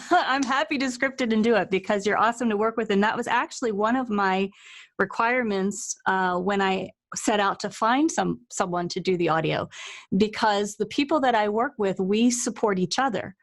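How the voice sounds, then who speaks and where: A woman's voice: mid-range voice, normal speed. Female interview host, mid-range voice, casual conversational delivery.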